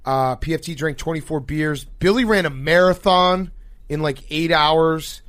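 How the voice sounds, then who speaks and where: Sleep-deprived man, higher voice, dry sound. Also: unhurried, fairly bright tone, dry recording. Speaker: podcast host. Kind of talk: casual sports comedy banter.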